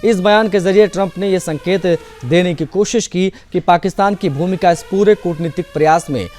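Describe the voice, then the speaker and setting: A man's voice: high-pitched, normal speed, a little mellow, faint music behind. A man presenting scripted news as narration in a formal, emphatic manner.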